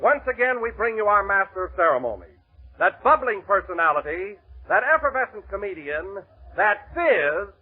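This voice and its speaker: A man's voice: high-pitched, very animated, normal speed, husky. An American man working as a radio announcer, hearty and emphatic in 1930s broadcast style. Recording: muffled, tinny 1930s radio recording.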